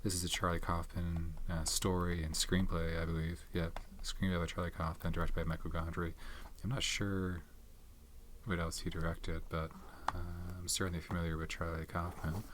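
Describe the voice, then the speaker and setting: Male ASMR host, very low voice, soft-spoken, meandering delivery, faint noise floor. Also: level tone. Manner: rambling, pauses to recall names. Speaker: ASMR host reviewing movies.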